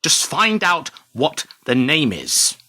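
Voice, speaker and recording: Radio play spymaster, male actor: bright, hard-edged voice, brusque, impatient, dryly sardonic. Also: on the high side, huge pitch swings, takes it slowly, slightly rough, full character voice. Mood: exasperated. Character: brusque, cynical British intelligence chief.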